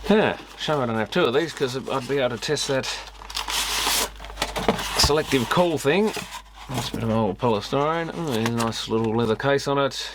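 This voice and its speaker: A man's voice: medium pitch, moderate pace, noisy background. A man hosting a repair video, casually commenting and reading a manual aloud with muttered asides. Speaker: host of an electronics repair video channel.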